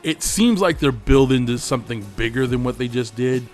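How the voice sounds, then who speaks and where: A man's voice: mid-range voice, expressive, moderate pace, soft background music. A man hosting his gaming channel, chatting casually over gameplay. He is tired and losing his voice, and he rambles in a laid-back way and swears freely.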